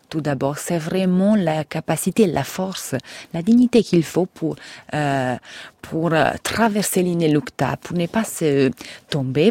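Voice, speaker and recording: Italian woman w/ accent, author talking slow n thoughtful, keeps restarting her sentences, dry close sound. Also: low-pitched, dark tone, no room sound. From Italy. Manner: reflective, searches for words with restarts. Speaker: author guest. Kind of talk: radio interview answers.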